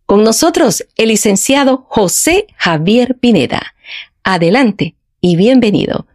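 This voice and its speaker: A woman's voice: a little high, animated, a little slow, a little mellow. A woman hosting a podcast, reading a scripted introduction in a formal, ceremonious way. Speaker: podcast host.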